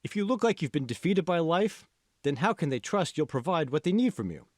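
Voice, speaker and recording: A man plays a confident young male college buddy in an audiobook. His pitch climbs and swoops as he coaches, emphatic and insistent. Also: big pitch swings, fairly quick, dry recording, clean studio sound. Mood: insistent encouragement. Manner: emphatic, prodding, persuasive. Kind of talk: audiobook character dialogue.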